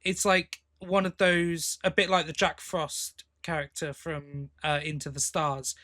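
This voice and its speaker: A man's voice: a little high, level tone, measured pace, sharp tone. A man co-hosting a podcast in casual chat, giving his opinions informally and bluntly. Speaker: podcast co-host.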